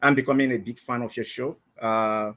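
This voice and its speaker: A man's voice: mid-range voice, fairly even intonation, normal speed, bright, forceful tone. A man from Nigeria with a Nigerian accent, calling in to a live stream show and talking hesitantly, with many fillers and restarts. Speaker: caller.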